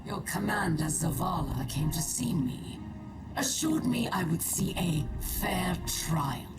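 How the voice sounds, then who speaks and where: A woman playing a proud, defiant alien warrior held prisoner in a video game, with a breathy rasp and slow, scornful delivery. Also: lowish voice, expressive. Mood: bitter, scornful. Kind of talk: dramatic video game cutscene acting. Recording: distant game audio under loud music.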